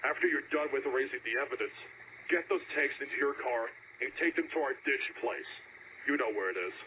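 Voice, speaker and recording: A man's voice: medium pitch, brisk, dull audio, slight background noise, close to an everyday voice. An actor playing a paranoid, ruthless male restaurant owner who gives urgent orders over a call in a horror audio tape. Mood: commanding. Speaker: villain giving orders by phone.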